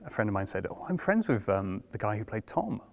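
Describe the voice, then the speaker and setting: Gravelly British man telling stories. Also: lowish voice, big pitch swings. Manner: measured anecdotal storytelling. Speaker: producer and director. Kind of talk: casual conversation.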